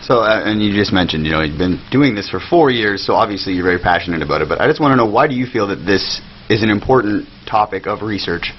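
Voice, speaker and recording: Lowish-voiced man interviewing, dull audio. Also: expressive, fast, hardly any pauses. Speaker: radio interviewer. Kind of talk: casual conversational questioning. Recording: dull sound with faint noise.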